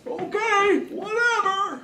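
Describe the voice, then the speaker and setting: A performer voicing a casual, squeaky cab driver in a live noir comedy radio play. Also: takes it slowly. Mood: nonchalant. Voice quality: squeaky put-on voice. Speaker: supporting actor in live radio serial. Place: small theater stage, live audience. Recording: noisy live stage recording with clicks.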